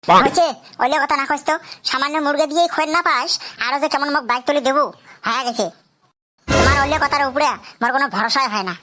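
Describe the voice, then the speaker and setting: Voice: high voice, fast, pushed and bright, slight background noise. A performer voicing a spoiled, stubborn teenage son in a comedy cartoon, whining and arguing in a North Bengal accent. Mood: demanding. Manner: whining, argumentative.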